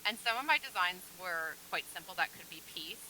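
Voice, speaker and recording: A woman's voice: on the low side, expressive, a little fast, bright, forceful tone, slight background noise, low-quality recording. A woman guest quilt designer in a casual chat, talking in an easygoing, hesitant way with frequent ums.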